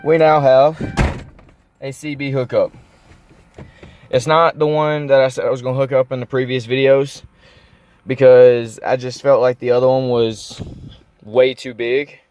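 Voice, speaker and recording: A man giving a casual, unscripted show-and-tell vlog, informal and thinking out loud as he goes. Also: medium pitch, level tone, a little slow, long pauses, dry recording. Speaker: car vlogger.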